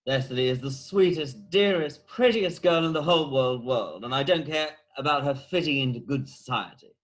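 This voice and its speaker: Casting: a man as a devoted young society gentleman in love, average-pitched voice, for a stage comedy read-through. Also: moderate pace, natural voice. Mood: adoring. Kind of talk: amateur play read-through.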